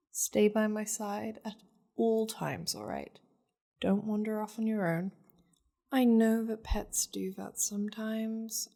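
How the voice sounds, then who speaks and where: A woman's voice: mid-range voice, warm tone. A woman voicing a possessive, wealthy demon lady in an intimate ASMR roleplay, flirtatious, teasing and commanding with haughty endearments. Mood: possessive, silky.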